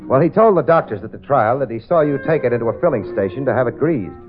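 A man's voice: medium pitch, expressive, fast, lightly acted. A man playing a breezy, wisecracking detective in a 1940s radio drama, both in scenes and as narrator, on a lo-fi old radio recording with music.

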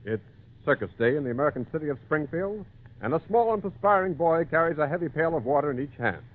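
A man's voice: on the high side, expressive, fast talker, background noise, natural voice. A man announcing and narrating in a scripted old-time radio style. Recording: tinny old radio, music behind.

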